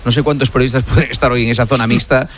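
A man's voice: medium pitch, steady tone, racing, slight background noise. A man hosting a casual sports radio show, chatting with colleagues.

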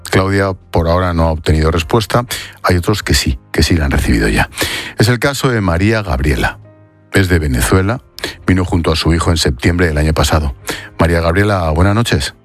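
Male news presenter, Spain accent, deep voice, narrating reports and putting questions to guests over music. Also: expressive, brisk. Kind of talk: broadcast news narration and interview.

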